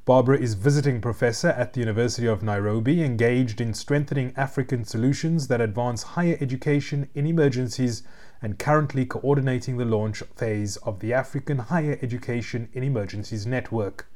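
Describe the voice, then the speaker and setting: A man hosting an interview in a mid-range voice. He speaks in a measured way, first reading a prepared introduction and then posing open questions. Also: mid-range voice, a little mellow. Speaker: interviewer, host.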